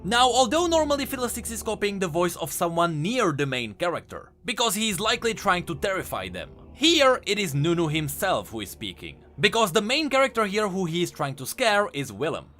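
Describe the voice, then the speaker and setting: A man's voice: high voice, big pitch swings, a little fast, hardly any pauses, pushed and bright. A man hosting a scripted explainer video as a game lore commentator.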